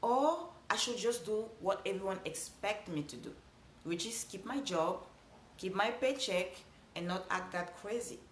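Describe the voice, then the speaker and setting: A woman from Cameroon speaks with a Cameroonian accent, delivering a heartfelt motivational talk. She stresses her points by repeating short phrases. Her voice sits in an ordinary middle pitch and rings out in an echoey room. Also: expressive, measured pace, a little hiss or hum. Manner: earnest, repeats phrases for emphasis. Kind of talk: motivational speech.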